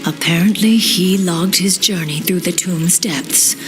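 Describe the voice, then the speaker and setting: An actress playing a stern, relentless elf warden commander in a video game, cold and deliberate. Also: female voice, on the low side, over music, lightly acted. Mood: grave, wary. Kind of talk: dramatic video game acting.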